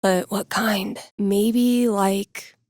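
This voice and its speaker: Casting: snarky, laid-back young woman for a video game, record-store geek type, slangy and sardonic, mid-pitched, recorded bone dry. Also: fairly even intonation, extremely slow, a little mellow, dry recording. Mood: casual, wry. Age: young adult. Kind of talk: video game character voice lines.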